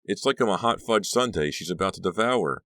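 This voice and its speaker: A man reads the part of a bewildered teenage girl in a young-adult storybook. She sounds anxious and questioning under a polite front, with lively pitch movement and a dry, close sound. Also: a little fast, dry recording. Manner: hesitant replies and anxious questioning. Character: uneasy, bewildered teenage girl, politely hiding her doubts.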